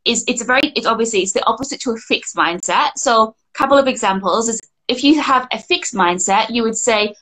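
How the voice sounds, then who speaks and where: A woman's voice: fairly high pitch, fairly quick, few pauses, sharp tone, low-quality recording. Chatty woman, employment coach. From Scotland. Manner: chatty, frequent fillers and restarts, laughs easily. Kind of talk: casual podcast chat.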